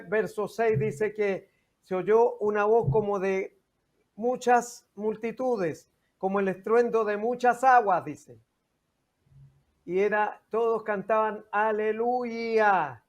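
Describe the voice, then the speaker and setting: Slow, high-voiced Chilean male TV pastor. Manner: slow, deliberate, halting preacherly delivery. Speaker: TV host and pastor. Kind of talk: religious interview, devotional talk.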